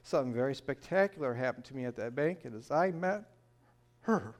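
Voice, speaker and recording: An older man with a Michigan accent shares his testimony at a middle pitch. He tells it earnestly, like a story, pausing often on "uh" and "um" as he goes. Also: expressive. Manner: earnest, storytelling, with frequent fillers. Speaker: church testimony speaker. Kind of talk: personal testimony.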